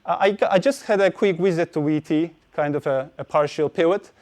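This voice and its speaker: A man's voice: a little high, brisk, a little mellow. A man giving a technical conference talk, hesitant, with many fillers and restarts. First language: Turkish. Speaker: conference speaker.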